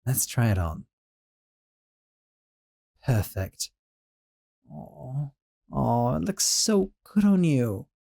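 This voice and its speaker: A man's voice: mid-range voice, heavily acted. A man playing a doting sorcerer roommate. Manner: soft, doting, a little flustered. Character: doting young male sorcerer roommate, gentle. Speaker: audio roleplay performer. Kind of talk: scripted audio roleplay.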